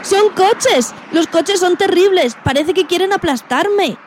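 A woman's voice: extremely high pitch, unhurried, background noise. A woman playing an indignant, timid male guinea pig who tells his story expressively in a children's radio play. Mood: terrified. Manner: expressive, exclamatory, plaintive.

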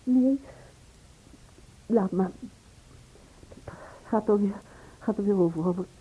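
A woman acting in a Dutch radio play as an anxious, fragile woman who speaks haltingly. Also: medium pitch, mellow, a little hiss or hum, lightly acted. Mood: faint, distressed. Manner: hesitant, halting, breathy. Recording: muffled narrow-band old radio recording.

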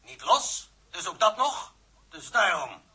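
An actor playing an alarmed, exasperated observation officer with a piercing, tense voice in a 1960s radio drama. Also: male voice, sits high, very animated, slow pace, heavy background noise, exaggerated voice. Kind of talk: 1960s Dutch science fiction radio drama.

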